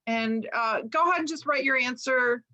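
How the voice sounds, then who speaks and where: A woman's voice: high-pitched, normal speed, sharp tone. A woman author raised in Chicago speaking Lithuanian first, giving an earnest, reflective online talk with frequent ums. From Chicago, USA. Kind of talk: online lecture presentation.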